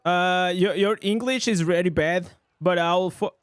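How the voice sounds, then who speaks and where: A man with a high voice for a man streams a game live, narrating his play in English. He bursts into excited reactions over loot and chats casually with his viewers. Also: a little slow, clear, resonant tone, no room sound, studio quality. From Portugal. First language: Portuguese. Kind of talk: live gameplay commentary.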